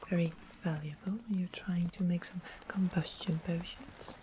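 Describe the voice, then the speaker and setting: A woman playing a sly, sweet-mannered witch in a whispered ASMR fantasy roleplay, explaining things slowly and deliberately, close to the microphone. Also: lowish voice, fairly even intonation, natural voice. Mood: calm, sly. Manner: slow, deliberate, savoring explanations. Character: sweet-smiling, sly witch of the west, fantasy potion-keeper. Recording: close whispered recording with constant background noise.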